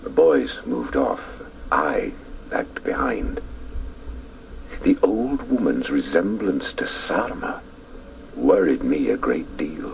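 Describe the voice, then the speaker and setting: Very deep, gravelly reflective narrator. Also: male voice, big pitch swings, slow, a little hiss or hum, full character voice, low-quality recording. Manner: hushed, lyrical, reflective. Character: reflective male narrator recalling his boyhood. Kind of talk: scripted literary radio narration.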